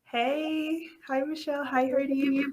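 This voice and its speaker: A woman's voice: high-pitched, flat delivery, unhurried, darkish tone. A young woman from Ecuador talking in a friendly, straightforward way as a panel guest on a video call. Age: young adult. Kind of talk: casual chat.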